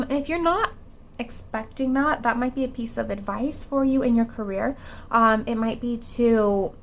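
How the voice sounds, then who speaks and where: A woman giving a pick-a-card tarot reading, her voice still affected by a cold, musing hesitantly with many fillers and restarts. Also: a little high. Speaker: tarot reader.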